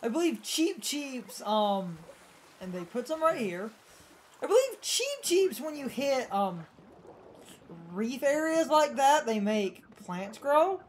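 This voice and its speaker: A woman's voice: high-pitched, big pitch swings, takes it slowly, darkish tone, slight background noise. A woman hosting a gaming video, giving casual game commentary alongside a co-host.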